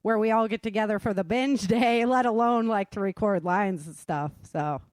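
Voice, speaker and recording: A woman hosting a relaxed, conversational interview as an author and producer. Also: mid-range voice, moderate pace, dry recording. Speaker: host, author and producer of an audio drama. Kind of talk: casual interview chat.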